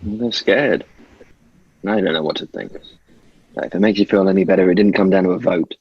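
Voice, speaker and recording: Uneasy serious young dude, table read, husky voice, muffled audio. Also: male voice, deep voice, fairly quick, no echo. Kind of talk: acted script table read. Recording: dull, muffled, dry sound.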